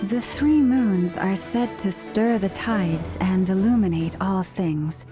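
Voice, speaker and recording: A woman playing an earnest, gentle character in a fantasy video game, speaking scripted lines sincerely and carefully. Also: mid-range voice, takes it slowly, over music, close to an everyday voice.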